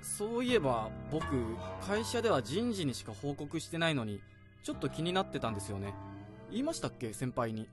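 A man's voice: a little high, big pitch swings, music in the background. A man playing an eager young junior employee who is easily startled, in a radio drama. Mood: flustered. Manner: quick, bouncy, easily surprised.

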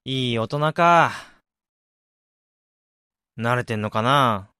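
A man's voice: medium pitch, slow, sharp tone, clean tone, no room sound, studio quality. A man, around his thirties, playing a wistful, blunt, introspective man in a radio drama and narrating his reflections. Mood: wry, nostalgic. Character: wistful man unsure whether he became an ideal adult.

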